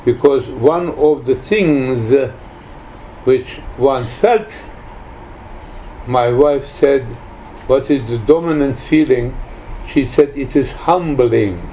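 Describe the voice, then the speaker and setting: A very old man in his nineties gives an informal spiritual talk in a soft-edged, mellow voice. He speaks very slowly and haltingly, repeating phrases for emphasis. The recording is slightly muffled and lo-fi, with faint noise behind him. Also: mid-range voice. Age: 90s.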